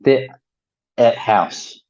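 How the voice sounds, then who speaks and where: A man's voice: extremely slow, darkish tone, dull audio. A man in his thirties from the Gold Coast with an Australian accent, chatting casually as a podcast guest. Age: 30s. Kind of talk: casual conversation. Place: at home, main living space.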